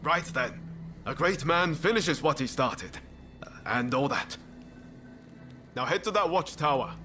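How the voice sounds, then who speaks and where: An actor playing an eager, playful, eccentric young samurai in a video game drama. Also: male voice, on the high side, animated, a little slow, bright, forceful tone, slight background noise. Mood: confiding, relieved. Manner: bouncy, whimsical. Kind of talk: video game cutscene acting. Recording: music under the voice.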